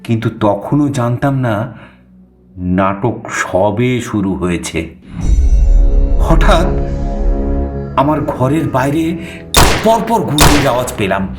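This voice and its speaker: A man narrating a suspense story in the first person, theatrical, with dramatic pauses. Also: medium pitch, animated. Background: music.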